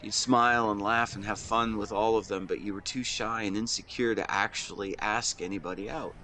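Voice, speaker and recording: A young man playing a lovestruck twenty-four-year-old confessing to his best friend, speaking earnestly and haltingly in an intimate romantic audio roleplay. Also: on the low side, steady tone, bright, forceful tone, a little hiss or hum. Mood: nostalgic, gentle. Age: young adult. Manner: earnest, tender, halting phrasing. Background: seaside boardwalk ambience effects.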